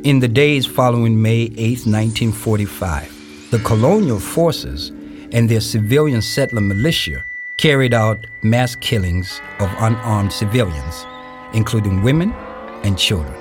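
A man playing a grave narrator of a historical radio play, reading scripted narration in a measured, deliberate, formal way with pauses. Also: fairly low pitch, natural voice. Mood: solemn, factual. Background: music.

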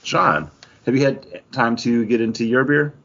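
A man's voice: mid-range voice, animated, unhurried, fairly bright tone, muffled recording. A man hosting a podcast, talking casually about comics and beer in a conversational way. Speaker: podcast host.

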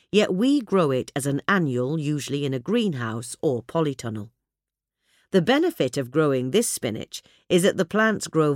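A woman reads a gardening article in a measured, clear way. Her voice moves lively up and down in pitch. The recording is dry, with no room sound. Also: dry recording. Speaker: reader. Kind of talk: scripted narration.

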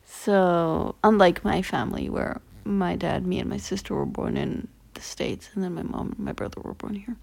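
A woman's voice: on the low side, moderate pace, gravelly, hardly any pauses, a little mellow. A young woman hosting a casual podcast interview, chatty and teasing, often giggling. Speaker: podcast host. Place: indoors at a family home.

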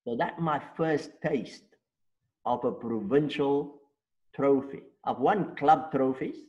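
A man's voice: mid-range voice, takes it slowly, a little mellow, dull audio. An older man in his sixties with a South African accent, a native Afrikaans speaker, telling his life story at length in an interview and checking in with rhetorical questions. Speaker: interview guest.

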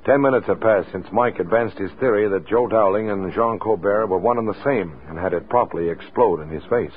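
Over faint music on a narrow, tinny old radio recording, a male announcer delivers scripted narration for a mystery serial. His voice is on the low side, in 1940s broadcast style. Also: a little fast.

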